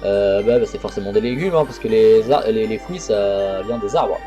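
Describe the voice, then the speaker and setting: A man's voice: fairly low pitch, moderate pace, warm tone, soft background music. A man hosting a let's play, chatting casually over a horror visual novel with crude jokes and frequent restarts. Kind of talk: casual game commentary.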